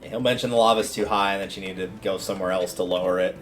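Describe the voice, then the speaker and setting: A man with a fairly low voice talks casually while streaming a video game. He narrates his choices as he goes, conversationally thinking aloud. Game music plays behind him. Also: steady tone, rapid-fire. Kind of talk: casual gameplay commentary.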